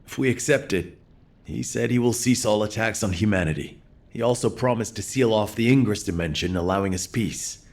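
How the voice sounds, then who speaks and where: An actor playing a weary, conflicted resistance commander in a video game, somber and reflective. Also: male voice, fairly low pitch, a little fast, a little mellow, lightly acted.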